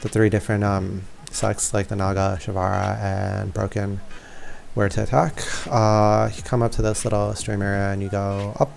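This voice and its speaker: Low, creaky-voiced male gamer. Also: flat delivery, moderate pace, a little mellow. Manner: informal, hesitant, thinking aloud. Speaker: gaming video creator. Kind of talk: casual gameplay walkthrough commentary. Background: faint game music.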